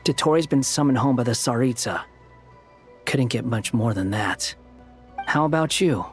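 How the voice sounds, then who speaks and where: A man's voice: medium pitch, moderate pace, soft background music, lightly acted. Man playing a curt, aloof young fantasy game hero. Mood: curt, challenging. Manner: curt, dry, challenging. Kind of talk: scripted game dialogue.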